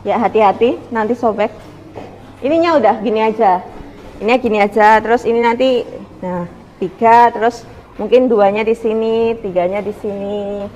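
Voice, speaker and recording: A woman teacher speaks. She guides pupils informally, giving gentle instructions and checking in with short questions. The room rings with reverb and there is some background noise. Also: fairly high pitch, moderate pace. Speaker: school teacher guiding student groups. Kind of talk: informal classroom coaching. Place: indoor school classroom.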